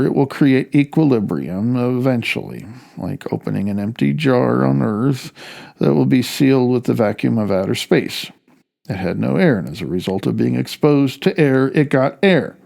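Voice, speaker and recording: Male author-narrator, creaky mid-range voice, wry and conversational, for a personal essay audiobook. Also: mid-range voice, a little mellow. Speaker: author reading own audiobook. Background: music.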